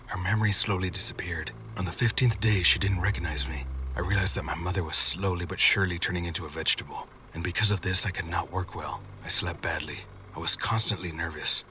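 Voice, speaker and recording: Deep-voiced male horror story narrator. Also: fast, pushed and bright, distant mic, a little hiss or hum. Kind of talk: scripted first-person scary story narration.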